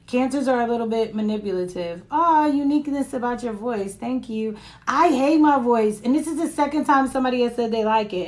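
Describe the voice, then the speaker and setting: A woman with a raspy voice giving a casual live-stream tarot reading into her phone, chatting and reading comments between cards. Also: on the high side. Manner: chatty, reads viewer comments aloud, laughs often. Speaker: tarot reader.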